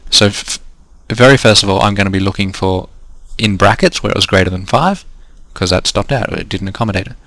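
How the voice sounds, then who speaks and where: A man's voice: lowish voice, a little fast, hardly any pauses, a bit bright, no echo. A man with an Australian accent teaching a trading lesson, walking through numbers step by step and losing his voice. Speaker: trading coach. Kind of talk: screen-recorded lesson.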